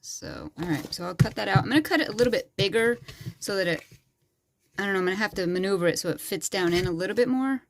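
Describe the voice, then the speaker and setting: A woman with a sore, hoarse throat, casually talking through a craft tutorial in a small bedroom craft corner, rambling with lots of fillers. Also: mid-range voice, lively intonation, fast talker, slight background noise. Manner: rambling and chatty, with frequent fillers. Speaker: crafting channel host. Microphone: phone camera.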